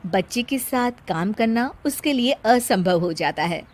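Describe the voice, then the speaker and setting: A woman's voice: on the high side, lively intonation, unhurried, darkish tone, soft background music. A woman narrating a cartoon moral story in a plain, scripted storytelling manner.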